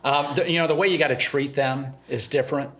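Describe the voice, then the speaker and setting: A man's voice: fairly high pitch, steady tone, quick pace, fairly bright tone. Man with a slight Southern-tinged Ohio drawl. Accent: slight Southern-tinged eastern Ohio. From eastern Ohio, USA. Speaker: guest, father of three. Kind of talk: casual interview conversation.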